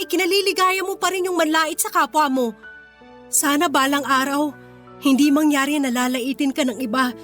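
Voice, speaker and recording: High-voiced, proud poor young woman. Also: a bit bright. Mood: hurt, pleading. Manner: earnest, emotional, dignified. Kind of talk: Tagalog radio drama acting.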